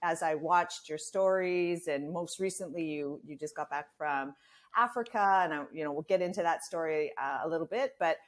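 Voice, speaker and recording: A woman's voice: sits low, moderate pace. A woman hosting a podcast, chatting casually as she interviews a guest.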